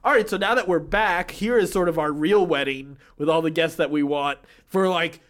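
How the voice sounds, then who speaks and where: A man, an animated and opinionated podcast co-host chatting casually as he recaps TV shows. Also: fairly high pitch, moderate pace.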